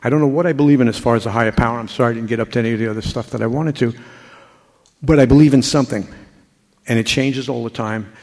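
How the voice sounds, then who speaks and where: An older man telling his recovery story to a group, halting and full of restarts. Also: medium pitch, a little mellow. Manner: halting, with frequent repeats and restarts. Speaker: speaker sharing a recovery story. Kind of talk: personal testimony to a group. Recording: hall reverb.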